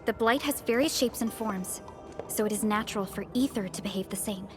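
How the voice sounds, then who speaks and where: A woman voicing a calm, courteous and learned ally in a video game, speaking in a measured, formal way. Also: medium pitch, close to an everyday voice. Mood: thoughtful. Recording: game music behind the voice. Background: game soundtrack.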